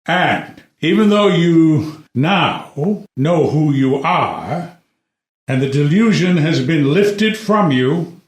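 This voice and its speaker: A man's voice: on the high side, lively intonation, slow pace. A man playing a stern old king and reproachful father in a dramatic play reading, weighty and admonishing. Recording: roomy, echoing indoor pickup.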